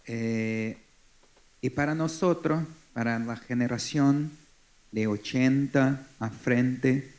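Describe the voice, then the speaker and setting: A man in his forties from Brazil with a Brazilian Portuguese accent, Portuguese his first language, speaking haltingly as a forum panelist. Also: medium pitch, darkish tone. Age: 40s. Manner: halting, searching for words. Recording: echoey conference hall.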